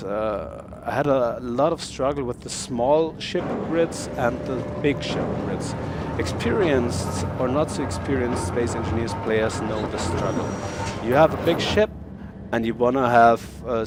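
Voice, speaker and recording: A young German man with a German accent, speaking English as a second language, casually showing off his build in a game. He talks haltingly, with many fillers and repeated words. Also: mid-range voice, heavy background noise. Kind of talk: casual game showcase commentary.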